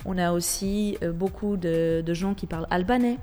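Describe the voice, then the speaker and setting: A woman's voice: lowish voice, moderate pace, warm tone, faint music behind. A woman from Switzerland with a Swiss French accent, a radio guest who talks with many hesitations and restarts. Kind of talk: radio interview.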